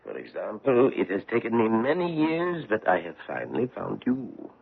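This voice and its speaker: An actor playing a courteous, probing foreign investigator on the trail of a war criminal, in a 1970s radio drama. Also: male voice, lowish voice, huge pitch swings, normal speed, gravelly, breathy edge, exaggerated voice. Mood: suave, probing. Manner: courteous, probing, gently menacing. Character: suave middle-aged foreign male war-crimes investigator. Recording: tinny old radio broadcast.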